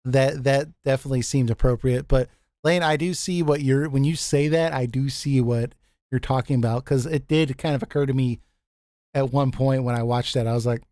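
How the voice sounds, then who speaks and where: Deep-voiced man, dry close sound. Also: fairly even intonation, normal speed, dark tone, no echo. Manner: easygoing, laughs often, restarts sentences. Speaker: podcast host. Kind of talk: casual group chat.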